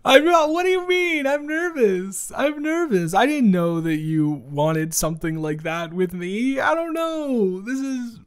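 A man's voice: very high voice, expressive, a little slow, few pauses, clean tone. A man improvising a romantic audio roleplay as an awkward, teasing young man talking to his best friend, with a hesitant, stammering delivery. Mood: flustered, nervous. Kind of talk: improvised romantic audio roleplay, intimate one-sided conversation.

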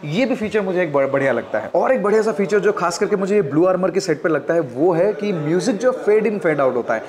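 Indian male reviewer, thirties, slightly high voice, casual and explanatory, talking to viewers like friends. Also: animated, brisk, a little mellow. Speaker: video host and product reviewer. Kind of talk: casual product review chat, mixing in English. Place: indoors at a wooden table. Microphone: clip-on wireless lapel mic.

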